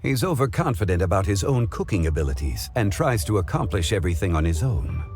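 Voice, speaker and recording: An actor voicing a calm, wise male storyteller in a game, speaking in measured, formal phrases. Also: deep voice, soft background music. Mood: thoughtful. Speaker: game character. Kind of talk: game cutscene voice acting.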